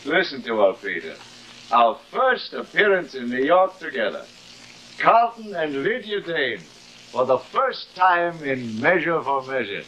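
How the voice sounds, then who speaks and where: Slow, tormented theatrical stage actor. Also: male voice, a little high, very animated, bright, forceful tone, a little hiss or hum, exaggerated voice, poor audio. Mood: proud, indulgent. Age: middle-aged. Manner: declamatory, dramatic. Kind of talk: 1950s live television melodrama acting.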